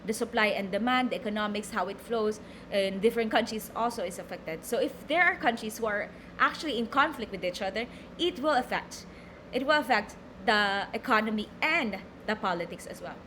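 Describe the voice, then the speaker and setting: A woman's voice: mid-range voice, expressive, a little fast, bright, forceful tone, slight background noise. A young Filipino woman with a Filipino accent, teaching a recorded lecture, reading from slides and explaining with many fillers and repeated words. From Philippines.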